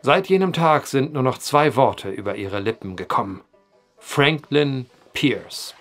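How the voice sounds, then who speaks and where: Eloquent, theatrical, animated brooding storyteller. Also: male voice, mid-range voice, measured pace, soft background music. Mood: grave. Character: eloquent, brooding male storyteller, drinking at a bar. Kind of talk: audio drama acting.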